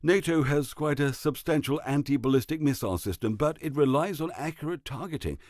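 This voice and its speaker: A man playing a grave military expert, giving measured, ominous analysis in a mock news drama. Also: fairly high pitch, a little mellow, no echo, studio quality, close to an everyday voice. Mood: worried.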